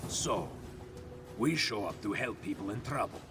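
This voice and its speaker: A man playing a gruff, indignant rebel hero in a video game. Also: lowish voice, lively intonation, a little slow, over loud music. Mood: bitter. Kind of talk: video game cutscene acting.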